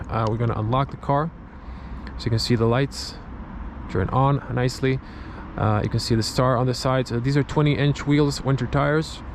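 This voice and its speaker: Croatian-accented man with a creaky voice. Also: mid-range voice. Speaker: car reviewer. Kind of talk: casual point-of-view car review commentary. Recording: clear vehicle and ambient noise. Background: car and ambient noise.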